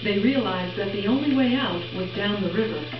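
A woman gives measured, informative documentary narration in a plain middle voice. The sound is roomy and muffled, like film audio replayed in a room, with music running underneath. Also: mid-range voice.

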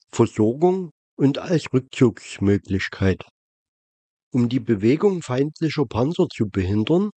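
Slow, mellow man narrating, dry recording. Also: mid-range voice, no room sound. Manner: measured, factual reading. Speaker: video narrator. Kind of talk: scripted documentary narration.

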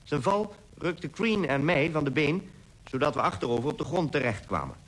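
A man's voice: on the high side, expressive, moderate pace, breathy edge, low-quality recording. A man playing a sledging explorer who gives a sober, matter-of-fact account in a radio drama. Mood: sober recounting. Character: male sledging explorer soberly reporting an accident. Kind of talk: scripted radio drama narration.

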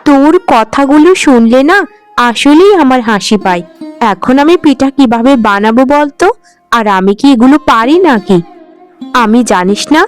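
A woman's voice: high-pitched, clean tone. A woman voicing a doting, well-off mother in a cartoon, indulgent and a little dramatic in her delivery. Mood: amused, dismissive. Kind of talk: Bengali cartoon voice acting.

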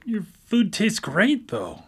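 A man's voice: high voice, huge pitch swings, takes it slowly, breathy edge, exaggerated voice. A man in a live radio drama playing a recently blind former engineer, hesitant and stammering with a wry, self-deprecating humor. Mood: awkward, wry. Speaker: lead character in a radio drama. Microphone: studio microphone.

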